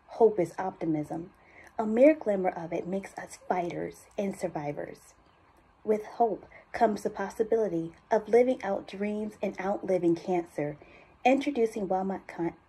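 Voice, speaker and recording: Woman acting as earnest charity ad narrator, hopeful, deliberate, very breathy and husky. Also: medium pitch, dark tone, rough recording. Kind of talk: scripted ad read, sentimental.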